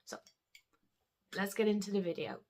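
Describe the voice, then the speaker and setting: A woman chatting casually to camera about her tarot decks, croaky from a head cold, rambling with laughs and soft murmured asides. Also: medium pitch, fairly even intonation, moderate pace. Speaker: tarot deck vlogger. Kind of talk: casual vlog chat to camera. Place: indoors at a desk.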